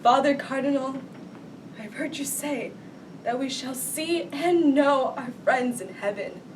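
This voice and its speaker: A woman performing a grief-stricken noblewoman mother in a Shakespearean stage monologue, with theatrical, drawn-out lamenting. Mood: sorrowful, pleading. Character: grief-stricken noblewoman mother mourning her captive young son. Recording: distant mic, rumbling background noise.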